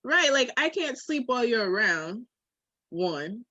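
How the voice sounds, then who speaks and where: A woman's voice: a little high, sing-song, unhurried, pushed and bright, no echo. A woman in a candid, casual podcast conversation, speaking reflectively and emphatically with slang. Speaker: podcast co-host.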